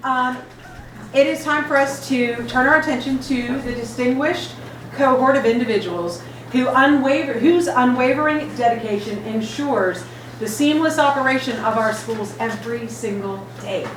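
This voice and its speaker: A woman's voice: high-pitched, normal speed. A woman hosting an awards ceremony, reading formal, upbeat announcements. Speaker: event emcee. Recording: echoey hall from far off, noisy.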